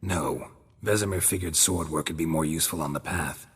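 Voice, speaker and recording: An actor playing a gruff, stoic monster hunter in a video game, speaking in a terse, wry deadpan. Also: male voice, a bass voice, fairly quick, in a large hall, heavily acted. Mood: dry, guarded.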